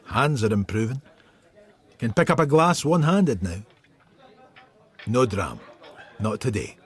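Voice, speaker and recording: A middle-aged man narrating his inner thoughts in a radio drama. The voice is husky and unhurried, in terse, world-weary fragments with lively pitch. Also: heavily acted. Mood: brooding. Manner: terse, clipped phrases. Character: world-weary middle-aged male detective thinking aloud.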